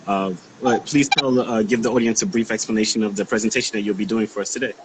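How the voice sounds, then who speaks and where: A man's voice: fairly low pitch, steady tone, fast. A man hosting a casual livestream chat over a video call, laid-back, laughing often and repeating his words. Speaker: host. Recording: noisy video call audio.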